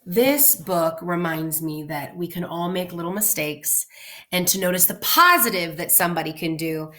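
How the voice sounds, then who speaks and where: A woman reading a children's story aloud like a teacher, animated and clearly phrased for young listeners. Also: on the low side, normal speed, a little hiss or hum. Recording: roomy indoor echo.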